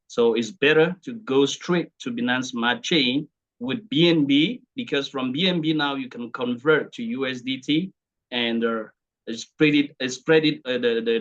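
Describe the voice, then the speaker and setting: A young adult man with a Cameroonian accent, presenting informally to an online group in a deliberate, halting way with repeated words. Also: medium pitch, dull audio. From Cameroon, English-speaking region. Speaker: speaker sharing testimonial at online training.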